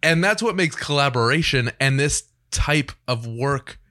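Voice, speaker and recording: A man's voice: mid-range voice, expressive, measured pace, pushed and bright. Casual male podcast host, mid-range voice. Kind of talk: casual podcast interview chat. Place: podcast studio.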